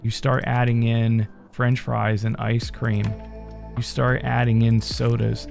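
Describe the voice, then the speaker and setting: A man hosting his gaming channel, talking in a relaxed, rambling way with fillers, his voice still strained from a head cold. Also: mid-range voice, slow, creaky, faint music behind. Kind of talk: casual gameplay commentary.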